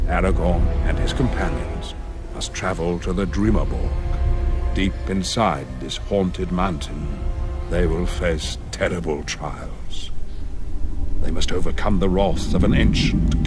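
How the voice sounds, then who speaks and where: An actor playing a grave, wise old wizard, narrating war plans in a solemn, portentous way. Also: male voice, deep voice, slow. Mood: grave, foreboding. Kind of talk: dramatic scripted narration. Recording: orchestral music behind the voice.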